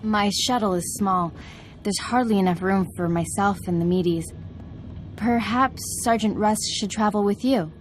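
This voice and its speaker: A woman's voice: mid-range voice, unhurried, soft background music, natural voice. An actress playing a formal, courteous female knight in a video game, speaking with poise. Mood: polite, composed.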